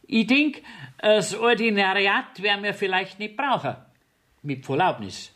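An actor playing a sly, deferential village court clerk in a radio play, with a southern German dialect and an insinuating manner. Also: male voice, high voice, expressive, a little slow, bright, forceful tone, full character voice. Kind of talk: 1970s radio drama acting in dialect.

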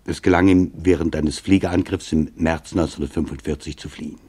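An actor playing a sober reporter who formally narrates a documentary radio play about wartime events. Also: male voice, rumbling low, fairly even intonation, brisk, mellow, slightly rough, heavily acted. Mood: sober, grave.

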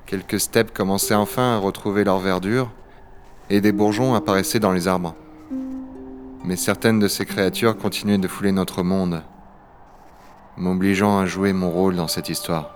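A man's voice: low-pitched, steady tone, moderate pace, music in the background, close to an everyday voice. A man playing a rugged, wry dragon hunter of a frozen future in a science-fiction audio drama. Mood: grave, reflective. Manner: gravely reflective narration, familiar offhand banter. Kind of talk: French audio-fiction acting and first-person narration.

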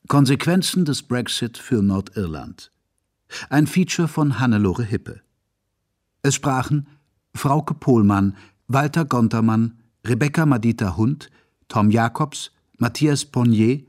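A man's voice: mid-range voice, animated, takes it slowly, a little mellow. A man reading scripted programme credits as a radio announcer.

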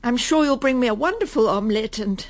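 A woman playing a prim, weary, middle-aged English lady in a radio drama, halting and polite, murmuring to herself with an English accent. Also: on the high side, lively intonation, no room sound. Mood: strained politeness. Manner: polite, halting, breathy murmured self-talk. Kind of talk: radio drama acting, intimate monologue and dialogue.

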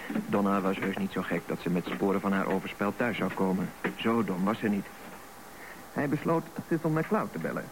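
A man narrating a scripted radio drama in a measured storytelling way. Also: sits low. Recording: noisy radio recording.

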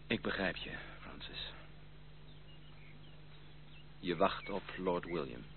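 Earnest, theatrical male suitor, formal and animated, hissy phone-like vintage radio play recording. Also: low-pitched, takes it slowly, gravelly, full character voice. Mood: hurt. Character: ardent, earnest gentleman suitor pleading for love. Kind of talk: old radio play acting. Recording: tinny, band-limited, hissy old radio recording.

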